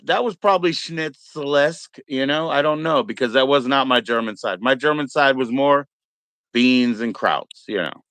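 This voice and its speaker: A man's voice: a little high, creaky, fairly bright tone, clear, resonant tone, no echo. An American man chatting casually as a livestream host, in a laid-back, slangy, encouraging way. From Maryland, United States.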